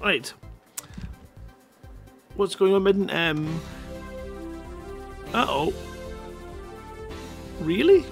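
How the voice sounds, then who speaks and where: A high-voiced man hosts a casual live show. He chats and introduces short stories. Faint music plays behind his headset mic. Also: sing-song, extremely slow, creaky, long pauses. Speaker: host of a live story-reading show. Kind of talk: casual live stream hosting and story reading.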